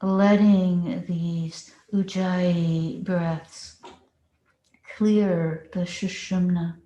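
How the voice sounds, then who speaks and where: Very slow woman guiding meditation, roomy sound. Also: on the low side, steady tone, dark tone. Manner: slow, deliberate instructing with long pauses. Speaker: meditation teacher. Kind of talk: guided meditation. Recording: roomy, echoing space.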